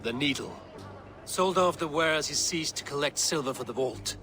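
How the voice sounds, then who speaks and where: An actor playing a stern, stoic Viking warrior in a video game, speaking in a grave and measured way. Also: male voice, a little high, sharp tone, a little hiss or hum. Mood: pensive. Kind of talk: dramatic video game acting. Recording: music behind the voice.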